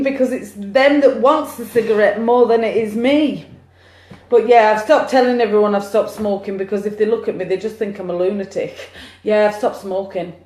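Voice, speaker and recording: A woman as a psychic medium, chatting excitedly through a live-streamed card reading on her phone. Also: on the high side. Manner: chatty, excitable, cheering on share counts. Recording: echoey room, phone mic.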